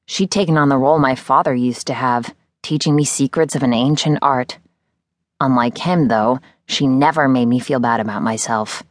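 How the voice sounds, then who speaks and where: A woman's voice: extremely low pitch, moderate pace, dry recording, studio quality. A woman reading a first-person novel as scripted audiobook narration.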